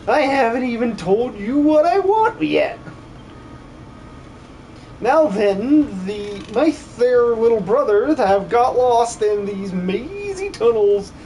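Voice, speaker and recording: A man's voice: high voice, a little mellow, slight background noise, heavily acted, rough recording. A man voicing a flamboyant, doting tunnel dweller in an amateur game dub, with a camp, affectionate drawl. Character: flamboyant, theatrical, doting older sibling lost-tunnel dweller.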